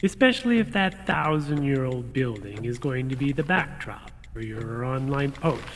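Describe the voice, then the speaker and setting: A deep-pitched, sardonic husband from a radio comedy, acted by a woman. He is suspicious and exasperated, with very animated intonation. The sound rings as if in a hall, over faint noise. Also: moderate pace. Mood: dry sarcasm. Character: grumpy, sarcastic, suspicious husband.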